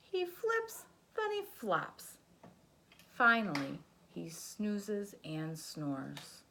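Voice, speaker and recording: A woman's voice: mid-range voice, huge pitch swings, very slow, sharp tone, slight background noise. A woman teacher reading a children's picture book aloud, doing sound effects and asking her young listeners questions.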